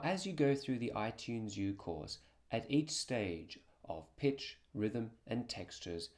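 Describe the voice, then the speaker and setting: Low-pitched man presenting a lesson. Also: darkish tone. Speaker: music teacher presenting student project. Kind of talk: scripted presentation narration.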